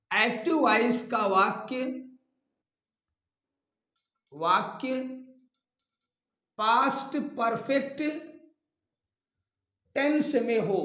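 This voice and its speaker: A man's voice: extremely high pitch, a bit bright. A man teaching a grammar lesson in a didactic way, repeating phrases as if for dictation. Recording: echoey, reverberant room.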